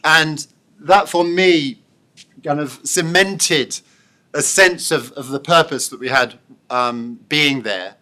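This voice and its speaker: A middle-aged man giving a thoughtful public lecture, pausing often with fillers and restarts. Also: on the high side, pushed and bright. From born and raised in Congo. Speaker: professor giving an inaugural lecture. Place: lecture hall.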